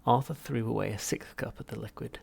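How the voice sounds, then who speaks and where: Very gravelly male audiobook narrator. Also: mid-range voice, a little fast. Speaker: audiobook reader. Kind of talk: scripted narration of a comic novel.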